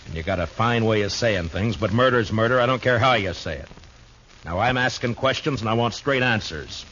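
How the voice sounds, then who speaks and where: Very deep-voiced Old West marshal. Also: male voice, expressive, a little fast, a bit bright, a little hiss or hum. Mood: stern. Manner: blunt, terse, level-headed. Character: stern, plain-spoken Old West marshal, male, steady and resolute. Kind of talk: 1950s radio drama acting and first-person narration. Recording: muffled vintage radio recording.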